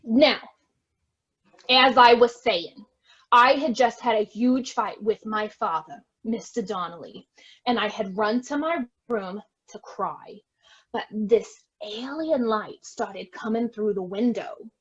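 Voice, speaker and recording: A dull, lo-fi recording of a woman acting as a defensive heiress in a stage farce. She speaks slowly and theatrically in a high voice, full of melodrama and exclamation. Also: a bit bright, slightly rough, full character voice. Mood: dramatic storytelling. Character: defensive, melodramatic estate heiress, bickering with her sister. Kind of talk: amateur stage farce acting over video.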